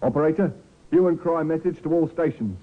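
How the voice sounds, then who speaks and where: An actor playing a dutiful police sergeant, crisp and official, in an old radio drama. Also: male voice, on the high side, a little fast, natural voice. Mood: brisk, official. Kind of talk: 1950s radio drama acting. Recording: tinny, noisy old radio recording.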